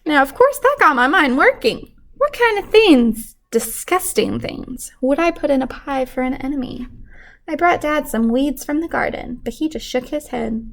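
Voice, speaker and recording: A woman reading a picture book aloud to children in an animated way, pausing to put questions to young listeners. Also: high-pitched. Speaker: children's story reader.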